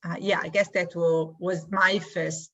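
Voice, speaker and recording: A woman with an Israeli accent, answering interview questions in a thoughtful way with many pauses. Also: fairly low pitch, level tone, a bit bright, dull audio. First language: Hebrew. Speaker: guest, community emissary.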